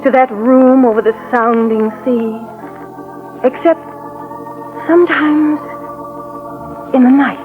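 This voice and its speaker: An actress playing a somber young heroine, narrating with measured, wistful drama in 1940s radio style. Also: female voice, sits high, fairly even intonation. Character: somber young heroine recalling past terror. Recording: tinny vintage radio recording. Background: organ music.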